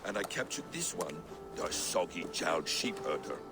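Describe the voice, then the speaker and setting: A man's voice: low voice, very animated, normal speed, over loud music. A man playing a sly, mocking Norse trickster god in a video game, with a drawling, theatrical, taunting delivery. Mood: sly menace. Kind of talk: video game cutscene acting.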